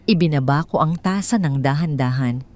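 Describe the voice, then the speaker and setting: Calm woman narrating a drama. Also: low-pitched. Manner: calm, measured, coolly descriptive. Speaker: storyteller. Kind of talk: first-person scripted narration.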